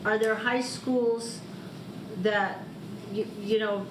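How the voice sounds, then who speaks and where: A woman's voice: a little high, steady tone, slow. A middle-aged woman speaking as a board member in a public meeting discussion. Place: large meeting room. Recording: echoey room with clear background noise.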